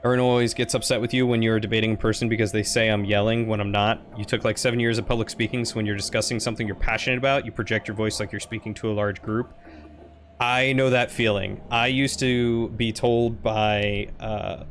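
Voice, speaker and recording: A man streaming a game live, chatting casually with a loud, projecting voice that he keeps lighter and friendlier than his natural deep baritone. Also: level tone, brisk, a little hiss or hum.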